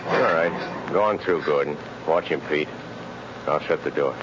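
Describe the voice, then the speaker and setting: Deep, solemn, mysterious crime fighter. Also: male voice. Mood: calm. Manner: deliberate, commanding, solemn. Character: mysterious commanding mind-reading crime fighter posing as guard. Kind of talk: 1930s radio drama acting. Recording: muffled, noisy old radio recording.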